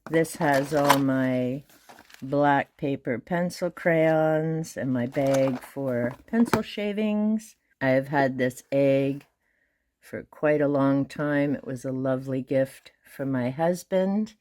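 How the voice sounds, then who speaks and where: Calm low-voiced woman touring bookshelves. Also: takes it slowly, noisy background. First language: Hungarian. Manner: calm, methodical counting and listing. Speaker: booktube host. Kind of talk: casual shelf tour.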